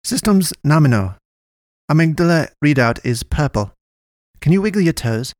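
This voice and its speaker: Man doing a clipped little alien creature, raised pitch. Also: animated, moderate pace, a little mellow, no echo, clean studio sound, natural voice. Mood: brisk, amused. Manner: clipped, stilted broken English. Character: small flying male alien creature, brisk and jokey.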